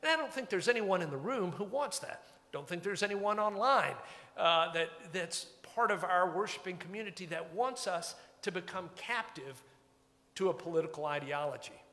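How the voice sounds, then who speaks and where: A man's voice: sits high, expressive, normal speed, pushed and bright. A man, preaching a sermon from a pulpit in a church sanctuary in a conversational, wry and reflective way. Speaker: pastor. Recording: long church reverb.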